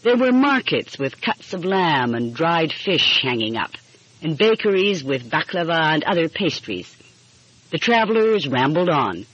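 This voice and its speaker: A woman's voice: low voice, breathy edge. A woman reading a mystery story aloud as scripted audiobook narration. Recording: muffled, dull lo-fi audio.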